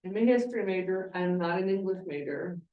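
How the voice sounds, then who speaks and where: A woman's voice: fairly low pitch, highs cut off. Woman, Southern US accent, committee member, repeats herself, roomy, off-mic. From American South. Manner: self-deprecating, repeats herself, quick. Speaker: committee member drafting the report. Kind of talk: casual committee discussion. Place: county meeting room. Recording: echoey meeting room, far mic.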